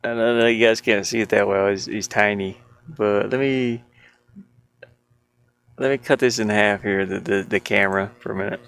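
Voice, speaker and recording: Low-voiced man, amused and rambling. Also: steady tone, normal speed, a bit bright, clean tone. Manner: amused, chuckly, rambling, thinking aloud. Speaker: indie game developer. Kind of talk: casual screen-share commentary while building a 2D game.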